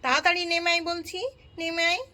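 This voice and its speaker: A woman's voice: very high voice, fairly even intonation, quick pace, bright, forceful tone. A woman voicing an angry mother who scolds and threatens her naughty daughter. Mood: scolding.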